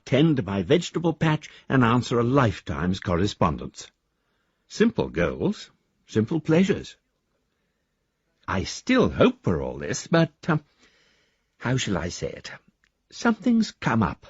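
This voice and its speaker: An actor playing an older, genteel, bookish English retiree, husky-voiced, courteous and musing as he reads letters aloud in a radio drama. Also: mid-range voice, no echo, heavily acted. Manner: courteous, wordy, hesitant, musing. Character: genteel, bookish retired old man, politely stubborn. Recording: dry, slightly dull studio sound.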